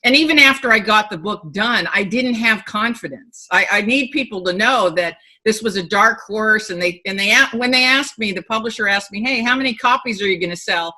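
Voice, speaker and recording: A middle-aged woman speaking as a guest author over a video call from a room at home, telling her stories in an animated, emphatic and candid way. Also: medium pitch, fairly quick, hardly any pauses.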